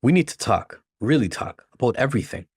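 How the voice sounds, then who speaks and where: A man's voice: medium pitch, lively intonation, a little fast, darkish tone, breathy edge, no echo, studio quality, pitched above the performer's own voice. A man voicing a loyal, indignant young wife in a story reading, with earnest, emotional emphasis. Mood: earnest, pleading.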